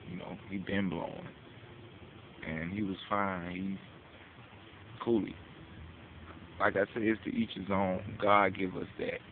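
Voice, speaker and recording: A tinny, phone-like recording with faint hiss carries a deep-voiced American man. He delivers an informal public address, pressing his case in street slang, with casual cursing and questions he puts to the listener. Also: level tone, unhurried, long pauses. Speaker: speaker giving a public address. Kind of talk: informal advocacy monologue.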